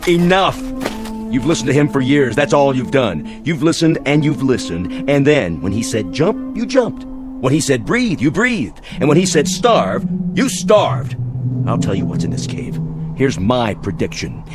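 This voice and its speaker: An actor playing a domineering military officer who commands and rants at a crowd in a radio drama. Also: male voice, mid-range voice, big pitch swings, measured pace. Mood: ranting. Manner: commanding, ranting, shouting orders. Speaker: military leader rousing a crowd. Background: music score.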